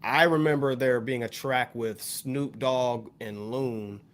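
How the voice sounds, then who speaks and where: An American man talking as a podcast panelist, explaining his view on music in a casual, measured way. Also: medium pitch, steady tone, fairly bright tone. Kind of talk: casual discussion, music commentary.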